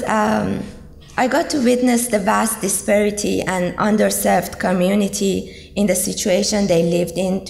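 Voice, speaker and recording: Slow, gravelly-voiced young woman from Iran. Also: medium pitch, a little mellow. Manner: earnest, hesitant, many ums. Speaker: student speaker. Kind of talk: personal testimony speech. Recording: echoey hall, long reverb.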